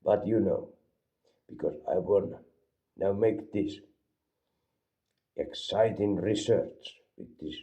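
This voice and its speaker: A Finnish man with a very low, gravelly voice and a dark, mellow tone, talking slowly in a rambling, deadpan way. He reviews a product as a joke. The recording sounds dull and lo-fi. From Finland. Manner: rambling deadpan, mixing languages. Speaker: vlogger reviewing an energy drink. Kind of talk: absurd comedic product review.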